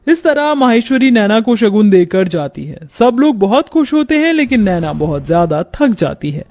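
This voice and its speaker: A man's voice: high-pitched, big pitch swings, normal speed, darkish tone. A man narrating a scripted cartoon family story.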